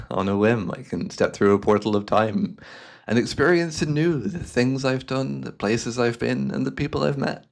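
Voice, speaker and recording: A man playing a devious, cultured hypnotist and collector in a fantasy ASMR roleplay, speaking in florid, theatrical phrases with a softly coaxing manner. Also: medium pitch, measured pace, vocal fry. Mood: proud, musing.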